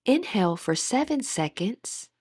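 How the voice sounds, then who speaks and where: A woman with a breathy voice gently leading a guided meditation as an instructor, in measured, scripted phrases. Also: mid-range voice, sing-song, dry recording, studio quality.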